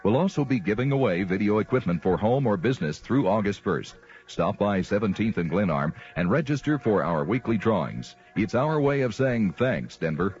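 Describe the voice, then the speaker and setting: A male announcer reads a scripted radio commercial in a lowish voice. The recording is dull and lo-fi, like vintage radio. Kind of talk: scripted radio advertisement read.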